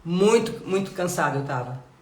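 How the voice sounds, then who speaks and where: A woman's voice: on the low side, expressive, normal speed, darkish tone, roomy sound. A woman giving a live devotional Bible talk, nasal and congested from a cold, earnest and full of rhetorical questions. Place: home.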